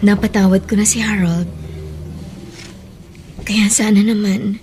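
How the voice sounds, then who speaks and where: An actress playing a frank, outspoken older sister in a radio drama. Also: female voice, mid-range voice, steady tone, measured pace, a little mellow, soft background music, natural voice.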